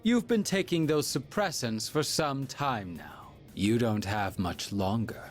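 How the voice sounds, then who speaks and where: An actor playing a coldly courteous game villain with formal, archaic, taunting speech who preaches like a false prophet. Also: male voice, medium pitch, expressive, unhurried, natural voice. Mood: coldly mocking. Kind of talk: English video game voice acting. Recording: music under the voice.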